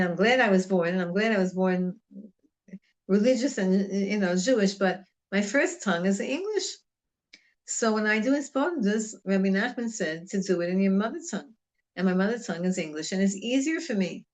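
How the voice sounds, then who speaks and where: A woman gives a relaxed religious class, speaking at an ordinary middle pitch. Her talk is chatty and wandering, with Hebrew words woven into her English. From United States. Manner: conversational and digressive, mixing in Hebrew terms. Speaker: religious teacher. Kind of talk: informal Torah class on Psalms.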